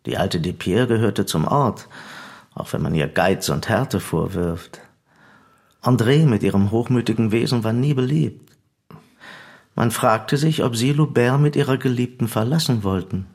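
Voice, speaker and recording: An actor playing an insistent, formal investigating official who questions with insinuation in a radio drama. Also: male voice, mid-range voice, a little slow, a little mellow, slightly rough. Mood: probing, insinuating.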